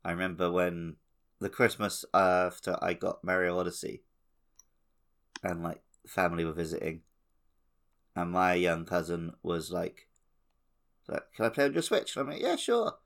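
A man's voice: low voice, expressive, moderate pace, creaky, long pauses, a bit bright. A man co-hosting a gaming podcast in a casual, conversational style. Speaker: podcast co-host.

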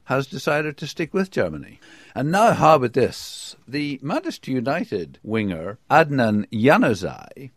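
A man's voice: medium pitch, no echo, clean studio sound. A man from the UK giving a conversational football report, enthusiastic, with hesitations and restarts. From United Kingdom.

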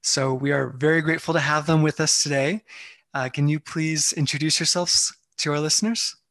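Male podcast co-host, upbeat and polite, a touch high, dry close mic. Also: moderate pace, fairly bright tone, no room sound. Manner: upbeat, polite, reading announcements. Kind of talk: podcast intro and interview.

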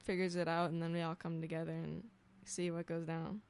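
Young woman musician on radio, lots of ums and likes, lowish voice. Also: completely flat delivery, fairly quick, darkish tone, smooth. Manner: hesitant, many ums and likes. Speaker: guest musician. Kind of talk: casual interview. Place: radio studio.

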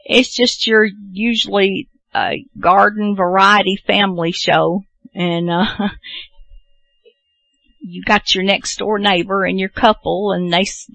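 A woman's voice: medium pitch, a bit bright, dry recording. A woman hosting a radio show, chatting casually in a rambling, self-deprecating way as she introduces programs.

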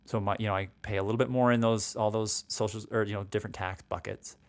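A man's voice: fairly low pitch, fairly even intonation, fast talker, no room sound. A man in his forties explaining retirement savings and taxes in a conversational way, often checking in with "right?" and restarting sentences. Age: 40s. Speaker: financial educator.

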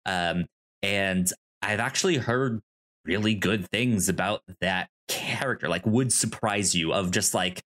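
A man co-hosting a casual pop culture podcast, talking in a halting, rambling, informal way. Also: sits low, a bit bright. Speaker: podcast co-host.

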